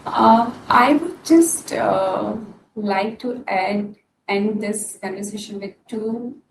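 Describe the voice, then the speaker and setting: A woman guest speaking in a halting way, with many pauses and fillers. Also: medium pitch, gravelly. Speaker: podcast guest. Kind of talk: casual interview talk. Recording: distant, echoey room with noise.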